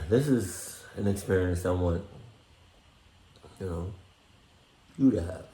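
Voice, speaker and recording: Slow-talking man, blunt reaction commentary. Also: sits low, warm tone. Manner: blunt, profane. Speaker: reaction video host. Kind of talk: casual, slangy reaction commentary. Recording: roomy, echoey recording.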